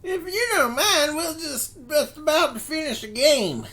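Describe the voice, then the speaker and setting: Sly, slow-talking saloon gambler, played by a man. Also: very high-pitched, animated, bright, forceful tone, full character voice. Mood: timid irritation. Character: sly, taunting male card player in a saloon. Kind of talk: western audio drama acting.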